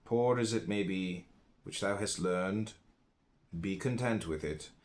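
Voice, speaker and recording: A man reading a philosophy audiobook, stressing key words and phrases with dramatic emphasis. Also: deep voice. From United States. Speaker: audiobook reader.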